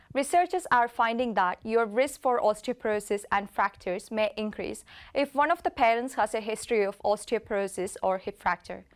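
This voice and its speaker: A woman in her twenties from India, a medical student reading a lecture presentation steadily, with an occasional slip. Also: a little high. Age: 20s. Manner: reads steadily from slides, occasional slips.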